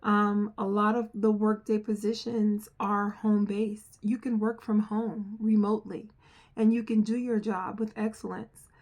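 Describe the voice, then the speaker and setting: A middle-aged woman from the United States, with an accent that mixes New Jersey, New York and North Carolina, talking earnestly to camera as a software trainer and repeating phrases for emphasis. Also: mid-range voice, little pitch movement, a little slow. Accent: mixed New Jersey, New York and North Carolina. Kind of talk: earnest direct-to-camera talk.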